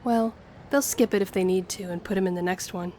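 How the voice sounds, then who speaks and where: A woman's voice: mid-range voice, steady tone, brisk, warm tone, natural voice. A woman playing a friendly, earnest young woman with a shy romantic streak in an intimate audio roleplay, speaking to the listener. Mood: chatty, friendly. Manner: chatty, earnest, flustered hesitations when romantic. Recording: clear background ambience noise.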